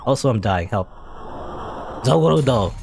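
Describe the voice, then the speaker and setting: A man's voice: mid-range voice, lively intonation, a little slow, vocal fry, a little mellow, faint music behind. A man giving casual, rambling commentary while playing a video game, often correcting himself mid-sentence. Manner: rambling, chatty, self-correcting. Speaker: gaming channel host. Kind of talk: casual let's play commentary.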